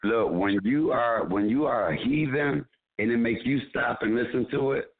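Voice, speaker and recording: A man with a deep voice, a comedian guest joking and teasing in a radio chat. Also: normal speed. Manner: playful, teasing, laughs often. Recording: tinny phone line.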